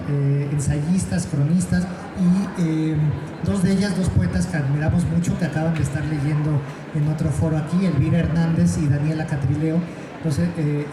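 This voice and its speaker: A man moderating a literary panel, speaking in a measured way and searching for his words. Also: fairly high pitch. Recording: echoey venue with background noise.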